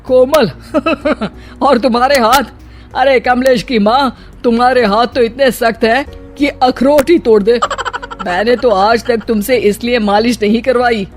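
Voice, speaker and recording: A performer voicing an older father-in-law in a cartoon story, a teasing husband with theatrical, drawn-out calls. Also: mid-range voice, steady tone, faint music behind, close to an everyday voice. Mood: mocking.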